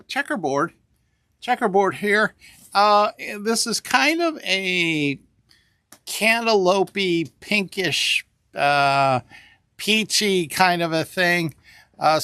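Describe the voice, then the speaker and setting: A man's voice: high-pitched, sharp tone, clear, resonant tone, no room sound. A man in his sixties co-hosting a fabric shop video, describing each bolt in a rambling, casual way with many fillers and upbeat price calls, recorded inside the store. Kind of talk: casual product showcase. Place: indoor fabric store among shelves. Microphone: small camera on table nearby.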